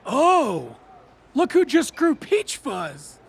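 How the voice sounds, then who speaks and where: A man playing a cocky, foul-mouthed, taunting bully in an audiobook, sneering and jeering in a raspy voice. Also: extremely high pitch, huge pitch swings, very slow, full character voice. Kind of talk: audiobook character acting.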